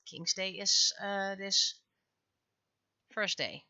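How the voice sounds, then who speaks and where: Casting: woman, bright tone, casual streamer chatting in halting bursts while she works, very dry close sound. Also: medium pitch, dry recording. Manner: halting, thinking aloud. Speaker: livestreaming webcomic artist. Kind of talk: casual livestream chat while drawing.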